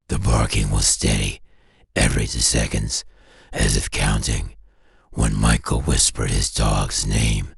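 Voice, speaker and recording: A man narrating a scripted horror story in an ominous, deliberate way, with a gravelly, husky voice and short clipped phrases. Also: a bass voice, no room sound, clean studio sound. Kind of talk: scripted analog horror narration, second-person.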